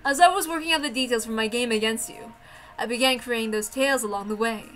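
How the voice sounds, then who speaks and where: A woman's voice: on the high side, fast, fairly bright tone, faint music behind, close to an everyday voice. A woman voicing a smug, playful golden witch in a visual novel read-aloud, composed, theatrical and mocking. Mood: smug, pleased.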